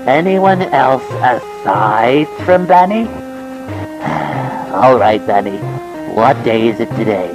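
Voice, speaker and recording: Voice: mid-range voice, lively intonation, takes it slowly, music in the background, low-quality recording. A performer voicing a grumpy, bossy cartoon animal host with a scolding, sighing manner. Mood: weary.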